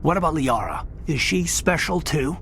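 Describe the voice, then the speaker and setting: A man's voice: a little high, lively intonation, moderate pace, fairly bright tone, a little hiss or hum. A man playing a sincere, hurt military lieutenant in a video game, speaking earnestly and hesitantly. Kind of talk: video game dialogue acting.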